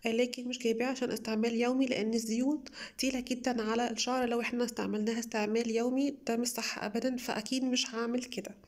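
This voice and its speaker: A young woman with an Egyptian Arabic accent, slightly hoarse from a cold, chatting enthusiastically to viewers as she reviews beauty products at home. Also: fairly high pitch, flat delivery, racing, a little mellow. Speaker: beauty vlogger. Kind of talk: casual product haul review.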